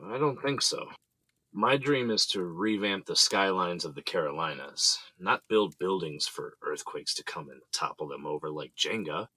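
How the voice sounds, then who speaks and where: Very deep, husky playful husband acted by a man. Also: animated, moderate pace, bright, forceful tone, no room sound, heavily acted. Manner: teasing, jokey. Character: playful, teasing middle-aged husband and proud father.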